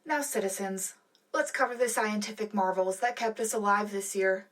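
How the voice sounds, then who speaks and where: A woman's voice: medium pitch, moderate pace, lightly acted. A woman playing a composed, loyal propaganda radio anchor reading a scripted, wryly upbeat sci-fi war broadcast. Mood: proud, official. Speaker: radio co-host. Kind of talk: scripted in-world news broadcast.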